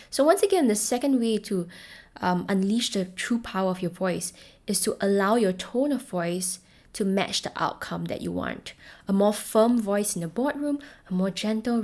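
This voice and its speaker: A young woman from Singapore with a Singaporean English accent, hosting a solo podcast as a voice coach, speaking clearly and encouragingly. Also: mid-range voice. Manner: clear, encouraging, shifts between firm and gentle tones.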